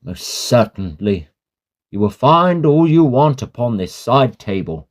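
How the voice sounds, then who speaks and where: A man playing a sceptical, concerned learned gentleman in a Victorian horror drama, with a measured, reasoning, formal manner. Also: mid-range voice, sing-song. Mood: puzzled, concerned.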